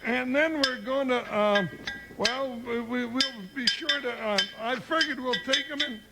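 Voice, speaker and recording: An actor playing a folksy, excitable, comic deputy sidekick with a rural Western American twang in a 1950s radio western, blustering and stammering when flustered. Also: male voice, very high voice, measured pace, creaky, loud music behind the voice, exaggerated voice, poor audio. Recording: old hissy 1950s radio recording.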